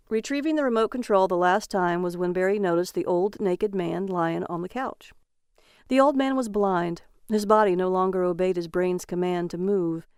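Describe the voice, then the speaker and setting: A woman's voice: on the low side, darkish tone, clean tone, dry recording, studio quality. A woman storyteller reading scripted narration of a comic short story.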